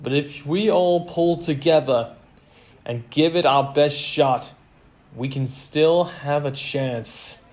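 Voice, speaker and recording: A man's voice: medium pitch, slow, pauses often, darkish tone, pitched above the performer's own voice, close to an everyday voice. A man voicing an earnest football coach and father rallying his team in a story. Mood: earnest, urgent.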